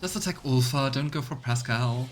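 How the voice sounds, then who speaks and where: Male streamer, mid pitch, casual musing play-by-play. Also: normal speed, music in the background. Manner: relaxed, musing, reads text evenly. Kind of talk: casual gaming commentary and reading game text aloud.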